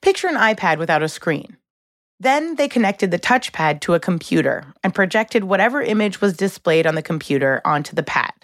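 A woman's voice: on the low side, very animated, fairly quick, dry recording, clean studio sound. A woman hosting a documentary podcast, reading scripted narration in a polished storytelling format.

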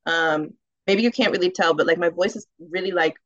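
A woman with a low, rich, round alto voice talks casually as an interview guest. She is animated and laughs easily, with a dry, slightly muffled sound. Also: brisk, dry recording. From United States. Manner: animated, laughs often, fillers like "um" and "like".

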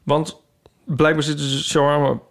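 A man with a Netherlandic Dutch accent hosting a podcast in casual, humorous banter, animated and playfully mock-indignant. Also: on the high side, normal speed, gravelly. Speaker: podcast host.

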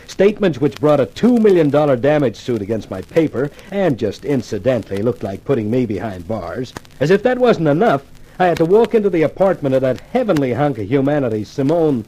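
An actor playing a wry, wisecracking newspaper columnist in a 1950s radio thriller, with a dramatic delivery. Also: male voice, fairly high pitch, warm tone, low-quality recording. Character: wisecracking newspaper columnist, timid man turned cocky.

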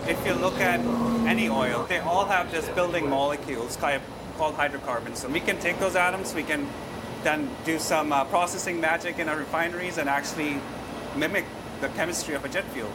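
A man's voice: fairly high pitch, a little fast. A man, a technical manager, informally explaining his work in an interview. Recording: loud outdoor vehicle noise.

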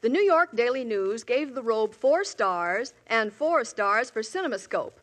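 A woman's voice: a little high, animated, unhurried, a bit bright. A woman Hollywood reporter in a scripted 1950s radio segment, chatty and enthusiastic.

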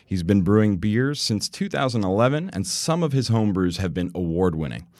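A man's voice: lowish voice, very animated, normal speed, darkish tone, no echo. A man acting as a podcast interviewer and host, addressing listeners and his guest. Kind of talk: podcast interview with prepared introduction.

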